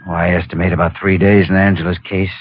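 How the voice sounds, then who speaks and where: An actor playing a calm, serious surgeon in a 1950s radio drama, speaking in a terse, measured, clinical manner. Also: male voice, sits low, dry recording. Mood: grave. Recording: muffled 1950 radio recording with faint hiss.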